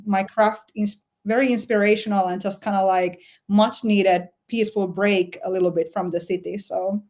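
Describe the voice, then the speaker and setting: A woman's voice: medium pitch, fairly even intonation, moderate pace, a little mellow. A woman from Finland with a Finnish accent, a guest musician answering interview questions thoughtfully and with some hesitation. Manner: thoughtful, hesitant, many "like" fillers.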